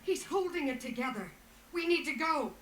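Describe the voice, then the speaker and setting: A woman's voice: sits high, lively intonation, normal speed, noisy background. An actress playing a resolute, impatient female adventurer in a dramatic fantasy video game. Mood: urgent.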